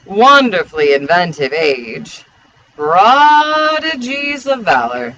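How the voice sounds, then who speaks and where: A man voicing a foppish, evasive gentleman gossip in a storybook, drawling out his syllables in a rambling, affected way. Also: high voice, very animated, takes it slowly, lo-fi sound, heavily acted.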